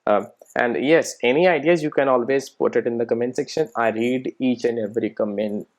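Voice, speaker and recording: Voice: medium pitch, brisk. A person giving an informal live coding tutorial, thinking aloud and often checking in with "right" and "okay". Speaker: programming tutorial presenter.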